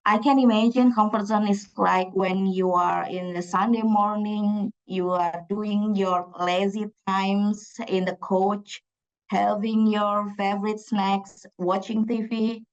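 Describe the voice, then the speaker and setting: A woman's voice: medium pitch, flat delivery, slow, dull audio. Indonesian-accented woman giving a hesitant talk. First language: Javanese. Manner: hesitant, frequent fillers and restarts. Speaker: PhD student presenter. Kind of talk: conference talk. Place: conference room.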